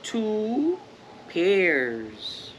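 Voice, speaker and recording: A woman's voice: medium pitch, huge pitch swings, extremely slow. An older woman hosting children's storytime, reading aloud interactively, prompting with questions and counting out with emphasis. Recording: noisy action-camera sound.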